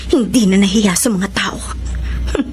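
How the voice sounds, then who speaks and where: A woman's voice: mid-range voice, unhurried, a little mellow, faint music behind, close to an everyday voice. A woman voicing a wronged young wife and mother, emotional and often tearful, in an audio drama. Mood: bitter resolve.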